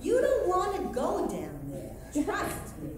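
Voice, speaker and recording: A woman plays an old lady in her seventies on stage, easily scandalized and reluctant to confide. Her voice is high and swings widely, with very slow, halting delivery. It rings through an echoey, noisy hall. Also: wildly swooping pitch, distant mic, full character voice. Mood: scandalized, dismissive. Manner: halting, scandalized, emphatic, with asides. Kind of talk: theatrical stage monologue. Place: live stage performance at a university.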